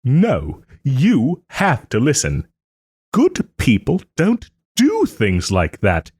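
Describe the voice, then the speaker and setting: A man playing a haughty but tender wronged nobleman in a romantic audio roleplay, speaking theatrically with formal, old-fashioned phrasing and hesitant pauses. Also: medium pitch, darkish tone, dry recording, studio quality, heavily acted. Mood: incredulous, urgent. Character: wronged young nobleman, haughty, wounded, still tenderly caring.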